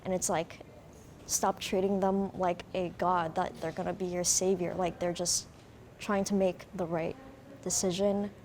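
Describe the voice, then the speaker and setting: A woman's voice: fairly low pitch, a little slow, a little hiss or hum. Casual young woman, filler-heavy talk. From United States. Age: young adult. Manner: casual, lots of "like", animated. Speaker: student panelist. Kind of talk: panel discussion answer.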